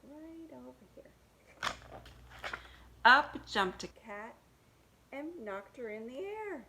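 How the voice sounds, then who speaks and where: Woman doing a kids read aloud, slow sing-song rhymes, little asides, some noise behind her. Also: high voice, very animated, bright, forceful tone, rough recording. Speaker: read-aloud host for young children. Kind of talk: reading picture books aloud with asides about the pictures.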